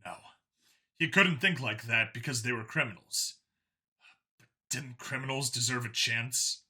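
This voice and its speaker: A man's voice: mid-range voice, measured pace, sharp tone. A man reading science fiction as a dramatic scripted narration. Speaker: story narrator.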